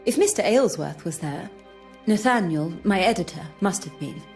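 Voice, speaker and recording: A woman's voice: mid-range voice, expressive, normal speed, a little mellow, close to an everyday voice. A woman voicing a defensive young journalist in a video game, polite and guarded under questioning. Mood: rattled, defensive. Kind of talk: scripted video game dialogue acting. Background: music.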